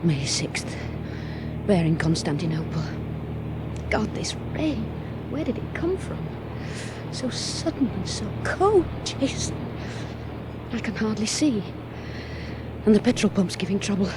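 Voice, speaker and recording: A woman's voice: medium pitch, big pitch swings, unhurried, pauses often. An actress playing a determined young woman aviator in a radio play, earnest and insistent. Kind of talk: 1970s radio drama. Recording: noisy background ambience.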